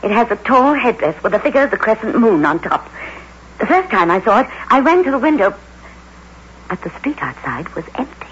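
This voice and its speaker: A woman's voice: a little high, big pitch swings, a little fast, fairly bright tone, breathy edge, exaggerated voice. A woman acting an anxious, haunted wife in an old radio drama, hesitant and plaintive in her delivery. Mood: uneasy. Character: anxious, haunted wife, evasive then quietly accusing. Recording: dull old radio recording, faint noise.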